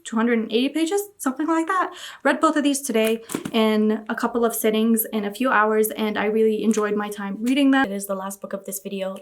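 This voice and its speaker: A young woman from Iraq chatting casually about books she read, gushing and repeating words like "really" and "very". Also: a little high. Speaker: book vlogger. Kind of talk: casual book review chat.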